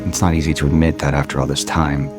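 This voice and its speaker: An actor playing a wistful man in his thirties in an audio soap opera, gentle, earnest and halting. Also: extremely low pitch, level tone. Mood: confessional. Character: wistful thirty-eight-year-old father, tender with old love. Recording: music behind the voice.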